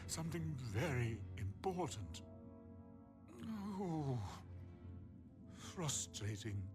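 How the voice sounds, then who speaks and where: An actor playing a solemn, rambling old sage in a video game, formal, musing and absent-minded. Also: male voice, mid-range voice. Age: older. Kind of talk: video game character dialogue.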